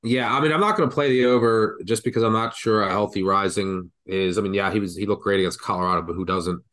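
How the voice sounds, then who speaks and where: A man's voice: fairly low pitch, quick pace, a bit bright. Stuffy-nosed man talking betting picks. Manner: rambling, thinks aloud with restarts. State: congested from the flu. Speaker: sports betting podcast co-host. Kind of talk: casual betting analysis chat.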